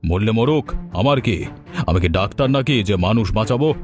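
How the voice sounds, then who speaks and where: A man's voice: fairly low pitch, lively intonation, moderate pace, darkish tone, faint music behind, lightly acted. A man voicing a cruel scornful village headman. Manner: harsh, scornful. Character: cruel greedy village headman, middle-aged. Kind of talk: cartoon voice acting.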